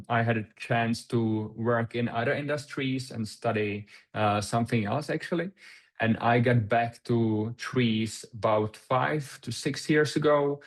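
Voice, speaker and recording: Czech-native man, webinar presenter on a call, halting with lots of fillers. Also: mid-range voice. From Czech Republic. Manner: hesitant, many fillers and restarts. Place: remote video call.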